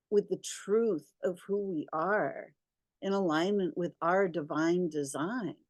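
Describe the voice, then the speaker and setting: A woman leading a guided spiritual meditation, speaking deliberately in short phrases with long pauses. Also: medium pitch, darkish tone, dry recording, studio quality.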